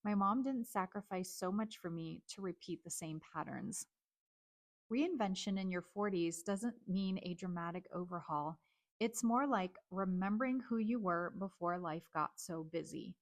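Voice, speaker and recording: A woman's voice: lowish voice, moderate pace. Earnest woman in her forties hosting. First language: Chinese. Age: 40s. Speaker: host. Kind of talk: reflective personal monologue.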